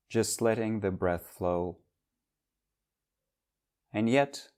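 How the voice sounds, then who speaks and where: A man leading a guided meditation as a teacher, speaking in measured, instructional phrases with long pauses. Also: deep voice.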